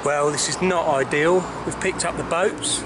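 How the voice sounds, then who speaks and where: A man's voice: on the high side, moderate pace, noisy background. A man talking casually to camera about loading up and travelling. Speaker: carp angler and presenter. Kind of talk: casual vlog.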